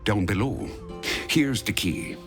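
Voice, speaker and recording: A man's voice: lowish voice, takes it slowly, soft background music. A man playing a grumbling shopkeeper with showy charm and a wry manner in a video game. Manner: wry, mock-flattering.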